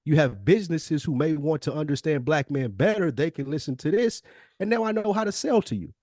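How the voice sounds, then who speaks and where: Emphatic man pitching in casual talk. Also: lively intonation, a little fast, darkish tone, dry recording, clean studio sound. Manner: emphatic, insistent, repeats phrases in lists. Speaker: podcast co-host. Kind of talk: casual conversational sales pitch.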